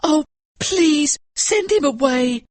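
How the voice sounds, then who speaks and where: Jealous little boy, read by a man, squeaky high voice, breathy, lively swings, dry storybook read. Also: normal speed, dry recording, exaggerated voice. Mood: pleading.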